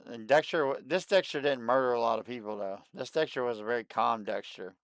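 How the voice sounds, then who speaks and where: A man in his late thirties hosting a livestream, chatting casually and excitedly, and often repeating himself. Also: mid-range voice, a little fast, clear, resonant tone, dry recording. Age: 30s. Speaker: livestream host. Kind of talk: casual chat.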